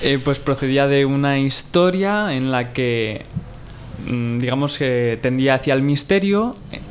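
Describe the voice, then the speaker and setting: A man's voice: mid-range voice, expressive, unhurried, slight background noise. A man in a casual discussion, explaining in a hesitant, carefully worded way. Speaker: radio guest, course student.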